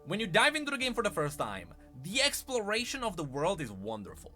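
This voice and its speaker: Male gaming streamer, slightly high voice with lively swings, casual and conversational, music behind him. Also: a little fast. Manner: conversational, thinking aloud with repeated rhetorical questions and emphatic repeats. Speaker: streamer and host of a gaming news channel. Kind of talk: casual live-stream commentary on gaming news. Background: background music.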